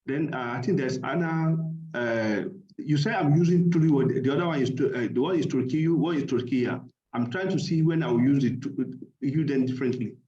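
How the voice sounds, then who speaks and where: A man's voice: medium pitch, dark tone, highs cut off. Hesitant male student, echoey call audio. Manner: hesitant, halting, tries words out. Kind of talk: practicing phrases in a lesson. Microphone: video call.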